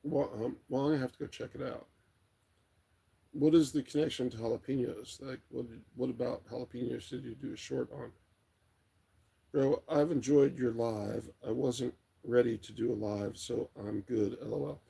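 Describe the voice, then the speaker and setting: A middle-aged man with a raspy, tired late-night voice, hosting a livestream in a rambling, relaxed way as he chats and reads comments aloud. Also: mid-range voice, moderate pace. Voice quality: raspy, gravelly. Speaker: livestream host. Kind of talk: casual chat, reading viewer comments aloud.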